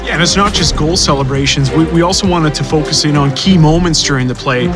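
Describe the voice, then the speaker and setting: A man's voice: on the high side, fast talker. A man speaking as a game developer, giving upbeat, enthusiastic explanations in a promotional interview. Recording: music behind voice.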